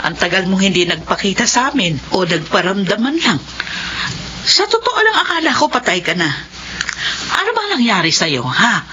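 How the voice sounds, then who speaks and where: An actress playing an emotional older sister in a Filipino radio drama, with a dramatic, emphatic way of talking. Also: female voice, medium pitch, big pitch swings, moderate pace, bright, forceful tone, a little hiss or hum. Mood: shocked, tearful. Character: emotional older sister who raised the abandoned children. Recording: muffled radio drama audio with music behind.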